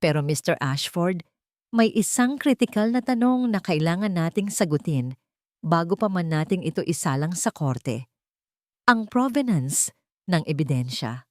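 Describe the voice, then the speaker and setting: Woman narrator as female attorney, audio drama, calm, pointed, wary, formal, wide intonation, dry close sound. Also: on the low side, dry recording. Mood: calm, sharp. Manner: deliberate, formal, mixes English legal terms into speech. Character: calm, sharp female lead lawyer, pressing and wary.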